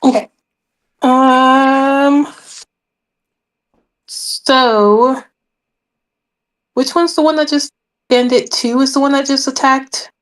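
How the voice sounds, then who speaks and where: A woman's voice: high voice, steady tone, slow, pauses often, poor audio. A woman chatting casually as a player in a tabletop game.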